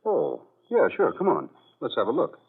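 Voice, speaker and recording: An actor playing a confident, skeptical gentleman and unruffled husband, brisk and reassuring, in old-time radio drama style. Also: medium pitch, huge pitch swings, gravelly, husky, full character voice. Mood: calm, musing. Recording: dull, muffled old radio recording.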